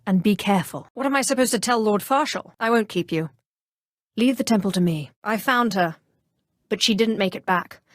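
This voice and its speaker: An actress playing a cold, haughty, cunning female Sith sorceress in a video game, speaking in a composed, imperious and deliberate way. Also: medium pitch, no room sound, clean studio sound, natural voice. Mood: probing, stern. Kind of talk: scripted role-playing game dialogue.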